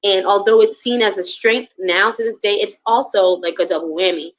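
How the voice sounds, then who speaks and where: A woman guest on a casual live stream, reflective and soft-spoken, speaking openly about herself. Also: mid-range voice, brisk.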